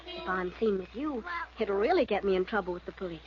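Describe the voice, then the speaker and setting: A woman's voice: medium pitch, lively intonation, quick pace, crowd noise, close to an everyday voice. An actress playing a guarded, defiant woman in a 1940s radio drama. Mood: guarded, curt. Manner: cool, curt. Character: guarded, defiant woman, curtly refusing help. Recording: muffled vintage radio recording, clear background noise.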